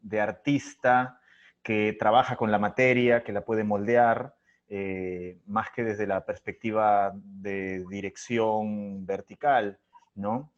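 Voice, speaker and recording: Peruvian guy, middle aged, chatting thru an interview, thoughtful anecdotes, kinda dull low quality audio. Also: steady tone, slow. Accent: Peruvian Spanish. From Chiclayo, Peru. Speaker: theatre artist and interview guest. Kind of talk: conversational interview answers.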